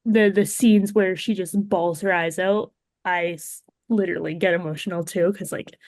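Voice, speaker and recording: A woman's voice: lowish voice, steady tone, moderate pace, darkish tone, no room sound, studio quality. Woman guest in casual podcast chat.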